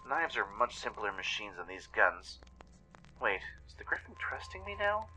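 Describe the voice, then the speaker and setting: A man's voice: lowish voice, sing-song, normal speed, pushed and bright, exaggerated voice, rough recording. A man voicing a meek, anxious, naively curious young pony in a radio play, with flustered questions and stammers. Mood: nervous wondering.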